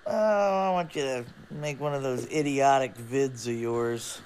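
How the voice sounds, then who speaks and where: A man's voice: mid-range voice, measured pace, darkish tone, clean tone, lightly acted. A man playing a smug, foul-mouthed rival hacker in a video game, gloating and sneering. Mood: smug, taunting. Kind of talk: video game cutscene acting.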